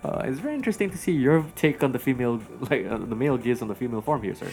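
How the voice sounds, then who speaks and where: A man's voice: mid-range voice, animated, very fast, a little mellow, faint music behind. A man from Singapore with a Singaporean English accent, co-hosting a casual film review podcast and teasing his co-host with jokes. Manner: joking, teasing, calls his co-host "sir".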